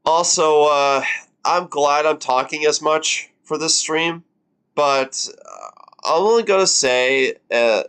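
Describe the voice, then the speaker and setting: A man's voice: fairly high pitch, slow pace, a bit bright, clean tone. A man in his twenties on a live stream, reacting casually and rambling with lots of fillers and the odd swear word. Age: 20s.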